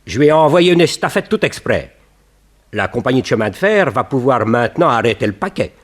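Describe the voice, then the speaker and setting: An actor playing an eager, placating police official in a 1960s French radio serial, with a husky, animated voice. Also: male voice, mid-range voice, brisk. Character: eager, reassuring male police official, somewhat complacent.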